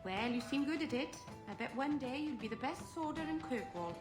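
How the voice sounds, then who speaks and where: High, lilting, naive young woman. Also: expressive, brisk, roomy sound, music in the background. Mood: curious, awkward. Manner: innocent, rambling, apologetic. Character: sweet, naive, chatty, self-doubting young woman. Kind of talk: video game voice acting.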